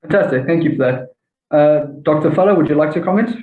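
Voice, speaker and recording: A man moderates a panel politely, hesitating often and filling pauses. His audio is thin and phone-like, with a roomy echo around it. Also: on the high side. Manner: hesitant, polite, many fillers. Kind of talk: hosting an online panel. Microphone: video call. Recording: tinny, echoey call audio.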